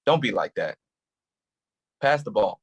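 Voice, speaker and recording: An actor playing a hurt, defensive male police officer in a staged play reading, touchy and quick to snap back. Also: lowish voice, expressive, breathy edge.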